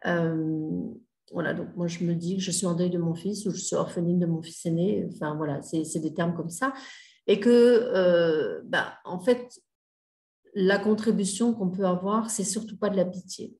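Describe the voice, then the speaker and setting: A woman's voice: fairly low pitch, normal speed, a little mellow, roomy sound. A middle-aged woman mentor giving a casual spoken talk, hesitant, with many fillers and restarts. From Australia. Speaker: grief mentor and healer.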